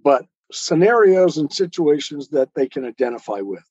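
A man's voice: fairly high pitch, big pitch swings, moderate pace, darkish tone, husky, no room sound, lo-fi sound. A man speaking as an interview guest, explaining his views as an author in a reflective, conversational way. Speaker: guest author, storyteller and podcaster.

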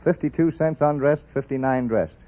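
A man's voice: a little high, moderate pace, very noisy. Plain-spoken man on muffled old radio. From Arkansas. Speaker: quiz contestant, butcher. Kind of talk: unscripted interview answers.

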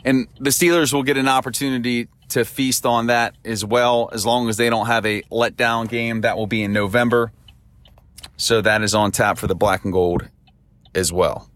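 A man hosting a solo sports podcast, talking through upcoming games in an upbeat, chatty way, his voice temporarily husky. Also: mid-range voice, steady tone, moderate pace, fairly bright tone. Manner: upbeat, chatty analysis with a cheerful sign-off. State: voice temporarily husky and lower than his norm. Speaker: podcast host.

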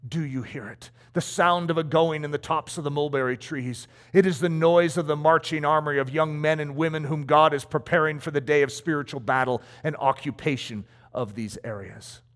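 A man's voice: fairly high pitch, moderate pace, hardly any pauses, fairly bright tone, lightly acted. A preacher reading as a solemn old missionary man. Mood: solemn, assured. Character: old male missionary statesman, visionary and assured. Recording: long hall reverb.

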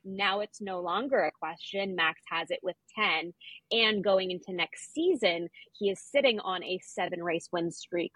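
A woman's voice: on the low side, a bit bright, slightly rough, no echo, poor audio. A woman co-hosting a podcast, chatting casually about sport with wry asides. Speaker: podcast co-host. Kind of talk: casual sports chat, recapping records.

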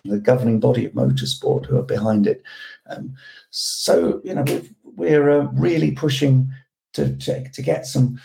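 Hoarse, croaky man with a gravelly voice. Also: mid-range voice, dark tone, low-quality recording. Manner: rambling, restarts, rhetorical questions. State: hoarse from a cold. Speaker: road safety campaigner guest. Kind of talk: podcast discussion.

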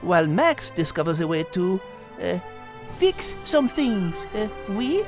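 A woman's voice: on the low side, sing-song, a little slow, over music. A woman playing a refined, brainy animal host who gives a scripted introduction to a children's story podcast. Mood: reflective, playful.

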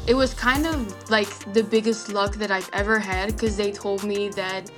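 A woman's voice: mid-range voice, fairly even intonation, moderate pace, music in the background. A young woman from the Netherlands, a native Dutch speaker, chatting easily in an interview and often using "like" and "kind of". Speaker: legal assistant, guest. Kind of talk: casual interview answers.

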